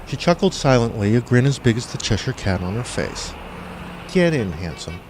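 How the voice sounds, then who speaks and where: A man's voice: medium pitch, animated, brisk, a little mellow, a little hiss or hum. A man reading his own scripted first-person fiction as an audiobook narrator.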